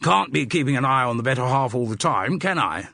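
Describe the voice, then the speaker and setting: A man's voice: medium pitch, animated, fairly quick, vocal fry, bright, forceful tone, no room sound, studio quality, voice pushed up, full character voice. A man voicing a jovial, blustering man of about sixty with a hearty English public school accent, in an audiobook. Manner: jovial, blustering, chummy tag questions. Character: chubby sixtyish man, hearty, bluff, guffawing cricket enthusiast.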